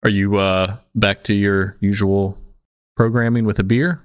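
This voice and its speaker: A man's voice: fairly low pitch, flat delivery, unhurried, no room sound, clean studio sound. A man hosting a podcast with casual, crude joking banter. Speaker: podcast host.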